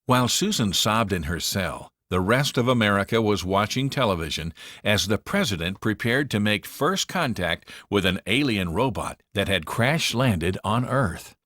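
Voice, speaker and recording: Low-voiced man reading a children's storybook expressively. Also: expressive, normal speed, a bit bright, no echo, studio quality. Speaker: storybook narrator. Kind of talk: scripted children's read-aloud narration.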